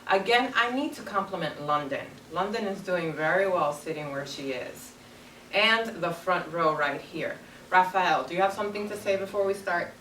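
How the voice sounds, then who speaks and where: Lady teacher reading to little kids, kinda low voice, far from the mic. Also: fairly bright tone. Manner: instructive, simple deliberate phrasing for children. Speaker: elementary school teacher. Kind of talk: read-aloud lesson with questions to young children. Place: carpeted classroom full of children. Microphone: far camera across the room. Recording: roomy, distant classroom camera with background noise.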